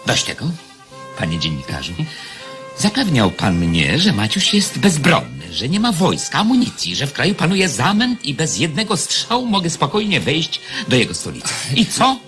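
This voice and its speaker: A man's voice: on the high side, huge pitch swings, moderate pace, a bit bright, soft background music. An actor playing a haughty, impatient enemy king in a radio play, mocking and imperious. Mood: scornful, impatient.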